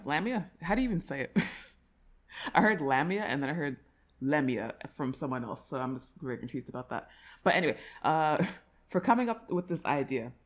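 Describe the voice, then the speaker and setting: A woman chatting casually to her listeners as an audio creator, in an informal, giggly, rambling way. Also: low voice. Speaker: audio roleplay creator addressing subscribers.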